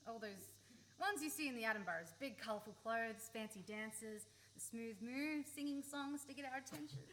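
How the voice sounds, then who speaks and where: A woman's voice: a little high, normal speed, fairly bright tone, natural voice. An Australian woman playing a sensitive, impulsive young woman in a naturalistic radio play, secretly in love with her friend. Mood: wistful. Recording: live recording with faint background noise.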